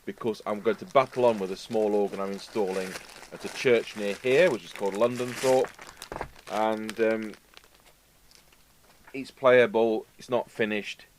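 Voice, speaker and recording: An English man with a fairly low voice chats through a hands-on demonstration. He is unscripted and rambling, often wandering into asides. A light hum and some noise sit behind him. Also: a little slow. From United Kingdom. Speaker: video host and radio repairer. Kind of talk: casual unscripted talk to camera while demonstrating. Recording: steady hum and background noise.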